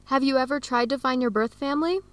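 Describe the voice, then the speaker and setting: A woman's voice: sits high, fast, no room sound, close to an everyday voice. An actress playing a friendly, curious and candid young woman in an educational radio drama.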